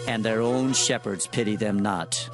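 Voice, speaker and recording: An actor playing a solemn prophet, declaiming divine oracles in archaic language in a dramatized scripture reading. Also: male voice, fairly low pitch, measured pace, music in the background, natural voice. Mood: grave, forceful.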